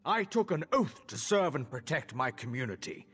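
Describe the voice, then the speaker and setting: An actor playing an anguished elf official and pleading father in a video game, with a husky voice and a formal, emotional delivery. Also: mid-range voice, very animated, moderate pace, a bit bright. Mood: troubled. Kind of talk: video game character acting. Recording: long hall reverb.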